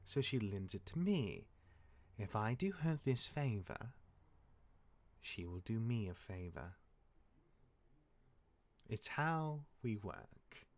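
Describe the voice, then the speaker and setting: An actor playing a cocky, jaded demigod of misfortune mentoring his younger brother in a fantasy drama, smooth-talking and wry, with dramatic turns. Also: lowish voice, big pitch swings, takes it slowly, long pauses, exaggerated voice. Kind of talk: character acting in fantasy audio drama.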